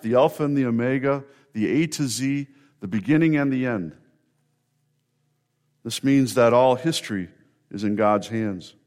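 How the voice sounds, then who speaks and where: A male pastor delivering a sermon to his congregation. His voice sits at an ordinary middle pitch, and he speaks earnestly, building up emphatic repetitions to drive a point home.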